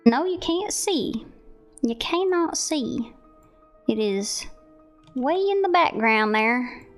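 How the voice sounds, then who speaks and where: A woman's voice: sits high, lively intonation, takes it slowly, faint music behind. An American woman streamer chatting casually and playfully with viewers, putting on a Southern US twang. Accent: American, playing up a Southern US twang. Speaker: streamer showcasing viewer art. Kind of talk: casual livestream chat with viewers.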